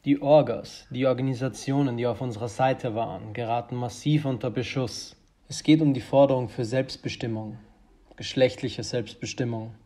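A man's voice: mid-range voice, steady tone, normal speed, mellow, close to an everyday voice. An actor playing a brusque, vehement male political strategist in an audio drama, speaking formally and emphatically. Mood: grave, urgent.

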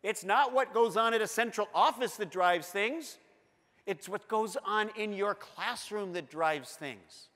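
A man's voice: high-pitched, fairly bright tone. A man in his fifties with a Canadian accent, giving an earnest, emphatic conference keynote as a school board director on a lapel mic in a large hall. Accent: Canadian English. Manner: earnest, emphatic, gestures while pacing the stage.

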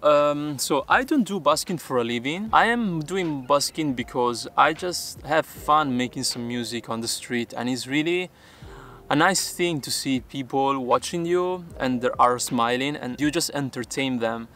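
A man's voice: mid-range voice, lively intonation, measured pace, sharp tone. Young Peruvian man vlogging outdoors. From Peru. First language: Spanish. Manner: earnest, explains step by step in careful non-native English. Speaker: YouTuber answering viewer questions. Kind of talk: casual vlog Q&A. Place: outdoors by a waterfront.

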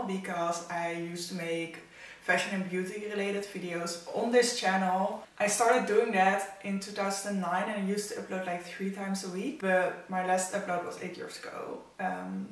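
A woman's voice: mid-range voice, steady tone, normal speed. A young woman with a Dutch accent, a native Dutch speaker, chatting casually to camera as a fashion vlogger in her living room. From Netherlands. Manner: chatty, opinionated about outfits. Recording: echoey room, mic at a distance.

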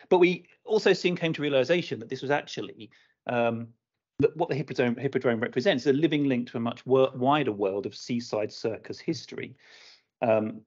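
A man's voice: medium pitch, darkish tone, slightly rough. A man presenting research informally at a conference in a discursive, articulate way. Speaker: academic presenter. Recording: muffled lo-fi online meeting audio.

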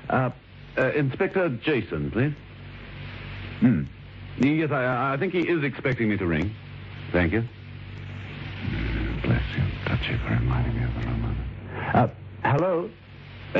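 An actor playing a scheming, wealthy middle-aged husband with a hesitant manner in an old radio drama. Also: male voice, mid-range voice. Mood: smug, musing. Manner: stammering, hesitant when feigning concern. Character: scheming forty-year-old wealthy husband, smug and falsely anxious. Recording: muffled, noisy old radio recording.

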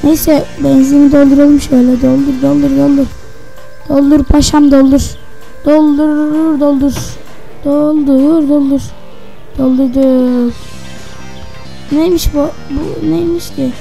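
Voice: high voice, level tone, takes it slowly, gravelly, long pauses, warm tone, faint music behind, low-quality recording. A gaming video host giving casual, chatty commentary, often repeating words over and over. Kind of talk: casual let's-play commentary.

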